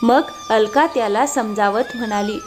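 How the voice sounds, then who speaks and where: A woman's voice: a little high, moderate pace, faint music behind. A woman narrating a scripted cartoon story.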